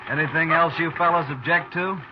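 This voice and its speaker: An actor playing a mean, surly, defiant cattle rustler in a 1950s western radio drama. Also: male voice, on the high side, steady tone, a little fast, natural voice. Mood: hostile, mocking. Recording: muffled, noisy 1950s radio recording.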